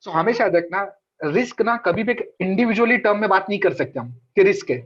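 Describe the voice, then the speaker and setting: ऊँची आवाज़ वाला भारतीय पुरुष ट्रेडिंग शिक्षक. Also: male voice, high voice, lively intonation, a little fast. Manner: chatty, mixes Hindi and English, repeats points. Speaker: trading mentor. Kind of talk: casual online trading class. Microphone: video call. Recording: dull lo-fi call audio.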